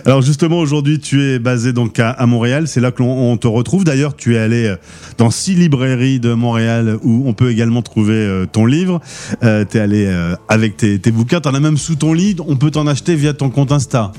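A man's voice: mid-range voice, brisk, mellow. A man hosting a podcast interview, asking questions in a friendly, easygoing way. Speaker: podcast host.

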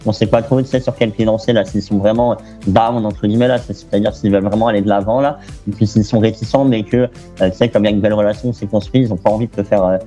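A young man from France talks easily in an interview, chatty and loose, full of little fillers and restarts. His voice sits fairly low with a mellow, soft tone. Faint music hums underneath. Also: fairly even intonation, quick pace. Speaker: guest. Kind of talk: casual interview talk.

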